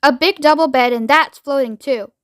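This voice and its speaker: Woman acting a flustered male astronaut, high voice, excitable, cheeky, emphatic, children's audiobook, close dry sound. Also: moderate pace, dry recording, lightly acted. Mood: insistent.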